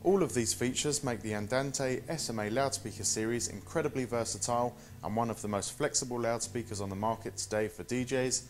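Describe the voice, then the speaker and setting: A man in his thirties presenting a scripted product walk-through in a measured, instructional manner. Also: medium pitch.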